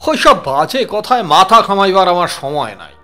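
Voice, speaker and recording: Snooty british police boss in a horror audio drama, sharp and forceful, music behind. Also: male voice, sits high, big pitch swings, moderate pace, full character voice. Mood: scornful. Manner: pompous, dismissive. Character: sceptical, high-handed British police chief in colonial India. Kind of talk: audio horror story acting.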